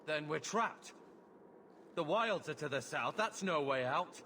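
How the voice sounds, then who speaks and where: An actor playing a sullen, hot-headed young fighter in a fantasy game. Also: male voice, fairly high pitch, expressive, unhurried, bright, forceful tone, slight background noise, full character voice. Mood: irritated, urgent. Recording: music behind the voice.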